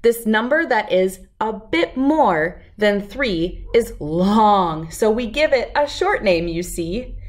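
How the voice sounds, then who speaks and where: A middle-aged woman reading a rhyming picture book aloud to children in an expressive, deliberate, lilting way. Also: medium pitch. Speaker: children's read-aloud host.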